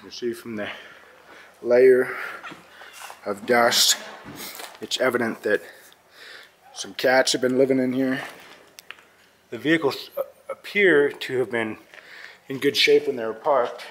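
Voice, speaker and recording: Calm man touring a dusty barn. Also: mid-range voice, slight background noise. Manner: calm, matter-of-fact describing with pauses. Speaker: vlogger filming a barn find. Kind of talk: handheld walkthrough narration. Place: inside a dusty metal barn. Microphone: handheld camera mic.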